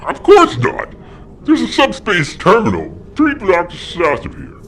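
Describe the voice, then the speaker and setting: A man plays an alien police sergeant in a sci-fi audio comedy. He is curt and officious, with a slightly high male voice that swings widely in pitch. Faint noise sits behind him. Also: wildly swooping pitch, unhurried, fairly bright tone, exaggerated voice. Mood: dismissive. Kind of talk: comedy audio drama acting.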